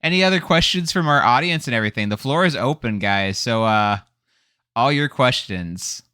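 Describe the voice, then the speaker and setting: Upbeat man hosting, bright dry sound. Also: medium pitch, lively intonation, normal speed, smooth, dry recording. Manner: upbeat, playful, laughs often. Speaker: host and interviewer. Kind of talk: casual chat.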